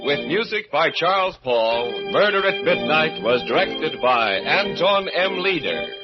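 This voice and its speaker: A man's voice: a little high, big pitch swings, measured pace. A man narrating old-time radio horror as an announcer, with ominous theatrical delivery. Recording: muffled old radio, loud music behind.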